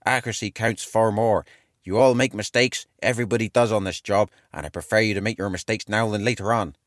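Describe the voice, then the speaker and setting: A man puts on a practical, stern army instructor for a wartime drama. He speaks to trainees in a firm, deliberate way, with no nonsense. The recording is dry and close. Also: fairly low pitch, fairly even intonation, no room sound, close to an everyday voice.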